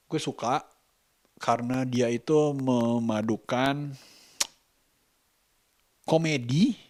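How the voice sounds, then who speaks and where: Slow-talking Indonesian man, halting and thoughtful. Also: mid-range voice, a little mellow. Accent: Indonesian, Jakarta colloquial. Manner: halting, searching for words, thoughtful. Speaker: vlogger and parent. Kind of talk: reflective casual monologue to camera.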